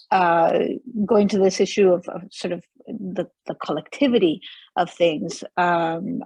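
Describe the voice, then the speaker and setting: A woman's voice: fairly low pitch, a little mellow, slightly rough, no room sound, rough recording. A woman from Peru moderating a virtual panel discussion, hesitant and searching for words.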